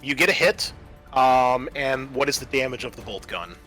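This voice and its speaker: Man, game master, bright forceful voice, medium pitch, tabletop narration and rules talk, music behind. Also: expressive, moderate pace.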